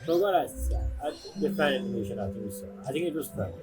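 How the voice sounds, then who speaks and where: A woman's voice: a bass voice, expressive, fast talker, poor audio. A woman giving casual, opinionated gameplay commentary in an informal way as she plays. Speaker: game streamer. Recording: game music behind the voice. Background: game music.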